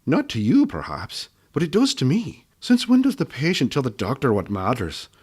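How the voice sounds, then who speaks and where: A man's voice: mid-range voice, wildly swooping pitch, normal speed, breathy edge, pitched above the performer's own voice. A man doing a snappish old gnome woman. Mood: scolding. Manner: snappish, scolding, bossy. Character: cranky old female gnome healer.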